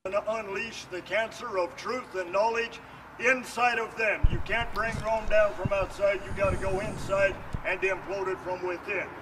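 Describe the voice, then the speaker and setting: A middle-aged man giving an earnest, solemn monologue to a camera outdoors, with emphatic, deliberate phrasing. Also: high-pitched, steady tone. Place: outdoors beside a building. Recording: distant mic, noisy outdoor video sound.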